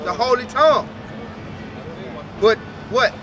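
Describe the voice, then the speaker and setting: High-voiced man preaching outdoors. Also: lively intonation, slow pace, crowd noise. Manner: rhetorical questions, urging. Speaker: street preacher. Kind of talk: street preaching. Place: outdoors on a city street. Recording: outdoor street recording with traffic noise.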